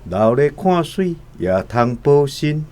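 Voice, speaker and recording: An actor playing a stubborn gambler with a Taiwanese accent who gives short, unyielding replies. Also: male voice, fairly high pitch, big pitch swings, takes it slowly, mellow. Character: stubborn, terse gambler guarding his treasure.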